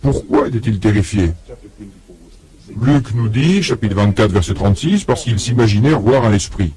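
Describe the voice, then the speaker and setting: A man's voice: on the low side, expressive, normal speed, mellow. A man delivering a religious lecture to an audience, telling a story and acting out both sides of a conversation, often putting questions to his listeners. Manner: addresses the audience directly with questions.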